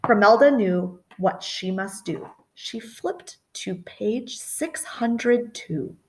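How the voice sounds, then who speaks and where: A woman's voice: mid-range voice, low-quality recording. A middle-aged woman reading a children's picture book expressively, with dramatic emphasis and chatty asides. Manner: animated, deliberate, dramatic emphasis. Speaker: storytime reader.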